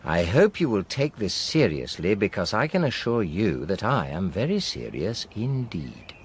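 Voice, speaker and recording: A man's voice: fairly low pitch, sing-song, measured pace, a little hiss or hum. An actor playing a wry, earnest middle-aged British husband and father in a naturalistic radio drama, speaking conversationally. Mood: dry reading aloud.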